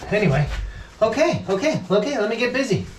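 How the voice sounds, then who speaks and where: A middle-aged man casually talking through his hands-on work to the camera, with halting pauses as he goes. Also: sits high, sing-song. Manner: talks haltingly while working, with pauses. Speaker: vlog host, boat restorer. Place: inside a wooden boat in a shed and at its workbench. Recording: noisy workshop recording with clicks.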